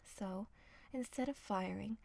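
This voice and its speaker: A woman reading a fairy tale as soft ASMR narration, hushed and often slipping into a whisper. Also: mid-range voice, unhurried, gravelly. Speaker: storyteller.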